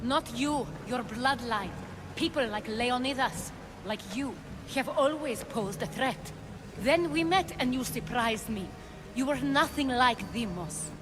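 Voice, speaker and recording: A woman's voice: on the high side, measured pace, background noise, close to an everyday voice. A woman playing a poised, calculating former cult leader in a video game cutscene, composed and persuasive. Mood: calm, confessing.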